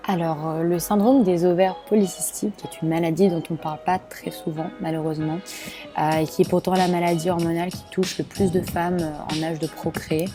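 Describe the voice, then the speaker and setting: A young woman in her late teens from Belgium, telling her own story with many pauses, fillers and restarts. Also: lowish voice, fairly even intonation, over music. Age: young adult. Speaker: student sharing her experience. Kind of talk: personal testimony to camera.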